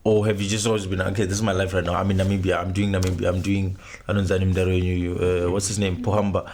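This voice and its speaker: A man's voice: low voice, little pitch movement, fast, a little mellow, slight background noise. A young man, hosting a casual podcast chat and rambling through his points, slipping into Shona phrases. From Zimbabwe. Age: young adult. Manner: rambling, restarts, switches into Shona mid-sentence. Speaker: podcast host.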